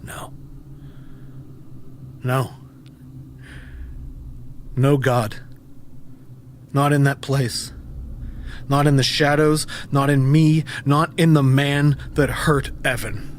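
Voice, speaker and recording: A man's voice: mid-range voice, steady tone, drawn-out words, pauses often. Male, haunted ex-preacher turned monster hunter, brooding audio-drama monologue, mid-range voice, some background noise. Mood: anguished. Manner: brooding, intense, dramatic delivery.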